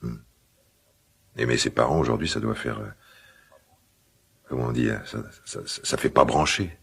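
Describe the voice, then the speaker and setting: Gruff tender dad in a radio play, super low raspy voice, halting and musing. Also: male voice, fairly bright tone, heavily acted. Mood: hesitant, reflective. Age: middle-aged. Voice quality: gravelly, husky. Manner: hesitant, musing, halting phrases. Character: gruff, tender, old-fashioned father of a teenager. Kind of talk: naturalistic French radio drama acting.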